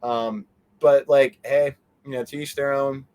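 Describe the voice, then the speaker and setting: A man being interviewed, chatting informally and haltingly, often breaking off his own sentences. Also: mid-range voice, steady tone, darkish tone, clean tone. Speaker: interview guest. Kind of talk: casual conversational interview chat.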